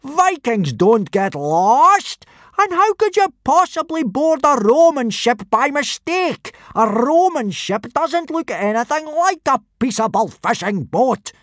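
A man voicing a burly, furious Viking teacher who booms and roars his words out slowly. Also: expressive, no echo, clean studio sound. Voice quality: booming, roaring. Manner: slow, drawn-out shouting.

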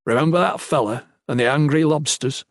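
Man voicing a gruff, folksy old sailor priest. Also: mid-range voice, normal speed, no echo, clean studio sound, natural voice. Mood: proud, grumbling. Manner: uneducated folksy grammar, bossy. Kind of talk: audiobook character voice.

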